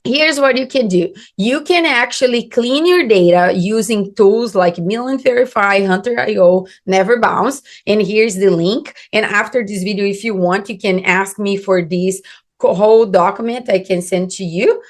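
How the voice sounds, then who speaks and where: A woman with a Brazilian Portuguese accent talks straight to the camera as a business coach, earnest and emphatic. Her voice sits at an ordinary middle pitch. Her English carries small non-native slips, and she leans hard on the points she wants to land. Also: moderate pace. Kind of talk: instructional talk to camera.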